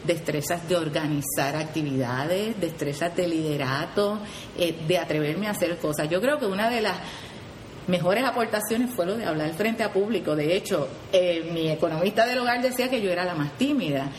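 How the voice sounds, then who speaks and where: Puerto Rican woman with a lower voice in an echoey room. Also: moderate pace, slight background noise. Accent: Puerto Rican Spanish. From Puerto Rico. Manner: earnest, frequent "¿verdad?" tags and restarts. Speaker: 4-H program leader, guest. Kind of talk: radio interview, explaining.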